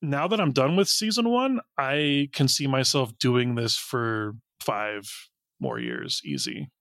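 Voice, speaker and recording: A man gives candid, reflective interview answers in an earnest way. His medium-pitched voice has noticeable fry, captured dry with no room sound. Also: very animated, slow, fairly bright tone, dry recording. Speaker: guest, audio drama writer and director.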